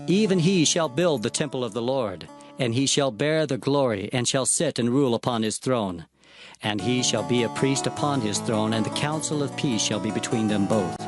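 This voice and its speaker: A man playing a biblical prophet who recounts his visions in an earnest, measured, declamatory scripture narration. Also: medium pitch, lightly acted.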